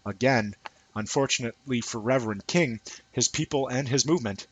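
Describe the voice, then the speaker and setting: A man's voice: medium pitch, brisk, breathy edge, low-quality recording. A man reading a scripted essay aloud as narration. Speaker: amateur reader of an essay.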